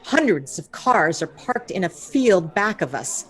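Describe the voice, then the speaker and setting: A breathless radio field reporter. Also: female voice, on the low side, sing-song, unhurried. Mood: reporting. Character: on-scene radio reporter, woman, composed then awed. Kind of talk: radio drama acting.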